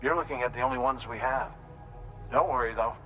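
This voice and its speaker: An actor playing a calm, precise doctor who explains medical procedures and reassures patients in a game cutscene. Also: male voice, mid-range voice, close to an everyday voice, low-quality recording. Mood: reassuring. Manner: measured, explanatory, slightly fussy. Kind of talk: video game cutscene acting. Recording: score music in the background.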